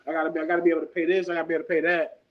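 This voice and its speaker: Brief: man in his thirties, interview guest, relaxed and slangy, highish voice, muffled lo-fi sound. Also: racing. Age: 30s. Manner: laid-back, rambling, slangy. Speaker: guest artist. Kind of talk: casual interview talk. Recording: dull lo-fi sound.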